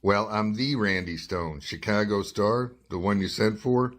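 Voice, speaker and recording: Man playing a deep-voiced, wry investigative reporter. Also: a little slow, close to an everyday voice. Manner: probing, wry, hard-boiled. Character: wry, dogged male investigative newspaper reporter. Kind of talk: radio detective drama acting and first-person narration.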